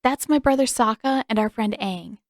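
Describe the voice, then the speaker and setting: A woman's voice: a little high, moderate pace, no room sound, studio quality, natural voice. A woman voicing an indignant, frightened teenage girl, firing off protesting questions for an audiobook story. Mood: guarded.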